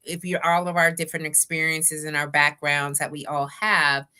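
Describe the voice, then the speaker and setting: A woman's voice: sits low, little pitch movement, normal speed, sharp tone, clean tone. A woman hosting a talk show, interviewing in a chatty, conversational way and addressing viewers directly. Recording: live online stream.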